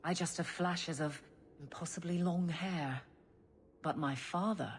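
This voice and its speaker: Woman as low-voiced, tender warrior. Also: measured pace, slight background noise, natural voice. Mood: concerned, awkward. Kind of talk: video game cutscene acting.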